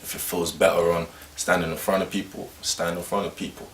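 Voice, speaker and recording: A man musician explaining his group's approach in a relaxed, matter-of-fact way. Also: deep voice, a little fast, slight background noise. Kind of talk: interview talk. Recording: echoey room, distant mic.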